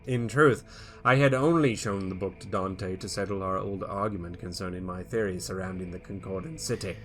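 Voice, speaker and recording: A man giving a dramatic first-person reading as his character, swinging between loud emphatic peaks and quiet low endings. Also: normal speed, soft background music. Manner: theatrical, rising to loud emphatic peaks then dropping low. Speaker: player reading character backstory.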